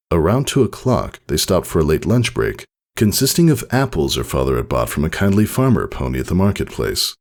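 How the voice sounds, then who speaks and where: Male narrator for fiction: low register, lively intonation, expressive scripted read. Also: creaky.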